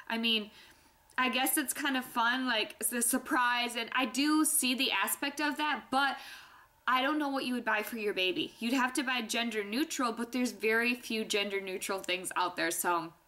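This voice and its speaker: A woman's voice: on the high side, sharp tone. A young woman chatting candidly to her viewers in a casual vlog, a little stuffy-nosed. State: stuffy nose. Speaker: vlogger. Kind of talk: casual pregnancy vlog chat.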